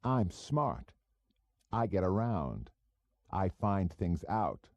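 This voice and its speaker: A man's voice: low-pitched, sing-song, extremely slow, darkish tone, no echo, highs cut off, pitched above the performer's own voice, exaggerated voice. A man playing a sneering, talkative, cynical wise-guy operative with a cocky, slangy manner in an audiobook. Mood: cynical, boastful.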